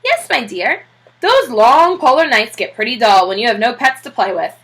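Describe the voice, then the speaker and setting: Woman acting a hearty explorer admiral, bright and lively. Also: a little high, moderate pace. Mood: hearty, confident. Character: Admiral Drake, great bearded hearty explorer man.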